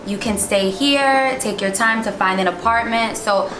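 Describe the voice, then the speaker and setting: A woman's voice: mid-range voice, unhurried, noisy background. A young woman from New York and New Jersey in the United States, telling her experience casually as an interview guest. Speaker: interview guest, business owner. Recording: echoey room with music behind.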